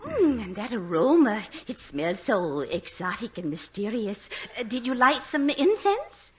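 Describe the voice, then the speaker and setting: A woman's voice: on the high side, big pitch swings, a little slow. Gushing, swooning older lady fan. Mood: coy. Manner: gushing, coy, theatrical. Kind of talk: 1940s radio comedy acting.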